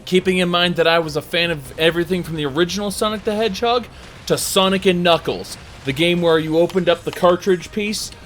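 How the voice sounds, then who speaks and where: A man with a fairly high voice reviews a video game over background music. His delivery is informal and conversational, with emphatic phrasing and dramatic pauses. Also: a bit bright. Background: music under the voice.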